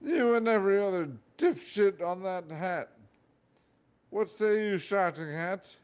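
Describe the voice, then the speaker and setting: A man's voice: sits high, unhurried, clear, resonant tone, pitched above the performer's own voice. A man playing a sleazy wizard headmaster. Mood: leering. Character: sleazy lecherous old male wizard headmaster. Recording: dull lo-fi recording.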